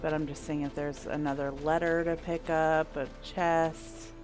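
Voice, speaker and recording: A woman's voice: deep voice, level tone, a little slow. A woman giving casual gameplay commentary, rambling and thinking aloud with the odd mild swear. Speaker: gameplay streamer. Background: video game music and sounds.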